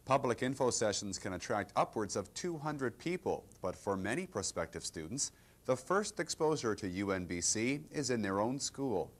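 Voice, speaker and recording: A man with a fairly low voice reads out a university news segment. His scripted narration is measured and even, in the manner of a campus news program.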